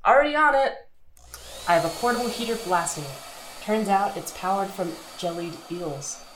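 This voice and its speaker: A woman voicing an upbeat, quick-thinking female engineer in a comic audio drama. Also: mid-range voice, lively intonation, echoey room, noisy background, lightly acted. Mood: eager.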